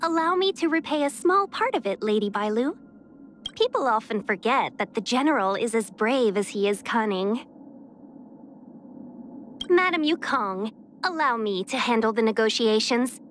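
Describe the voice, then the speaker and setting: A woman voicing a courteous, smooth-talking young diplomat in a video game, polite and silky. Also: a little high, expressive, moderate pace, a little hiss or hum, natural voice. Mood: courteous, charming.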